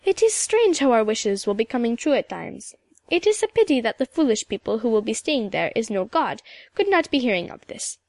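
Woman voicing a proud old storytelling woman, lightly high. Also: very animated, a little fast, darkish tone, no echo. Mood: dignified, gratified. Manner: lilting old-country phrasing, repeated "oh yes". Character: elderly woman, composed, proud, sorrowful storyteller. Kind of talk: audiobook character dialogue.

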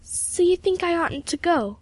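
A woman's voice: sits high, sing-song, moderate pace, dry recording, voice pushed up. A woman voicing an earnest, sensitive young heroine of a storybook, with a pleading manner. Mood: anxious.

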